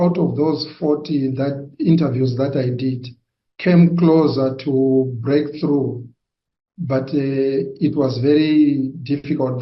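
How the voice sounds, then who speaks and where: A middle-aged man from Zimbabwe, giving a personal testimony, hesitant and full of pauses. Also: medium pitch. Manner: hesitant, with frequent pauses and fillers. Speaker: testimony giver. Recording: dull, roomy sound.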